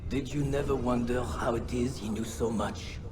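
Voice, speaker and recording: An actor playing a dying villainous crusader lord in a video game, deliberate, theatrical and sardonic. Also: male voice, medium pitch, fairly even intonation, background noise, lightly acted. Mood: bitter, taunting. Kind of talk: dramatic video game acting.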